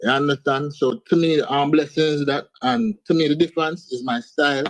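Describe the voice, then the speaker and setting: A man's voice: fairly high pitch, normal speed, no echo. Laid-back Antiguan man, dry sound. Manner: laid-back, sprinkles speech with "man" and "you understand". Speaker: guest artist. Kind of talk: casual interview chat. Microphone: video call.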